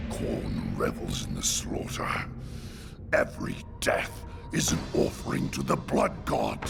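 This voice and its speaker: A man's voice: rumbling low, exaggerated voice. A man playing a brutal, boastful champion of a blood god in a video game, theatrical, menacing and full of contempt. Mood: menacing scorn. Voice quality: gravelly. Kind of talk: video game villain acting.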